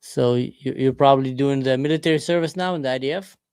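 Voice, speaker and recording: A man's voice: mid-range voice, quick pace, darkish tone, clear, resonant tone, dry recording. A man in his forties from Israel, chatting with strangers on a livestream and asking lots of questions. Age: 40s. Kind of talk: casual video chat. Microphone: desk camera mic.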